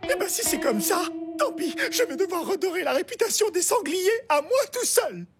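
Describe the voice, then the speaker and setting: A goofy, self-satisfied young male boar in a cartoon. The voice is very high and animated, with a brash, slangy swagger. Music plays clearly behind. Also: moderate pace. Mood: stubborn, proud. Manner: cocky, slangy. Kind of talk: French cartoon dialogue.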